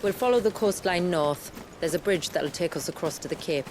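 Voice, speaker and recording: An actress playing a steadfast village elder and guide in a video game, speaking in formal, measured, archaic phrases. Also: female voice, fairly low pitch, background noise, close to an everyday voice. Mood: solemn. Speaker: village elder guiding travelers. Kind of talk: video game cutscene acting.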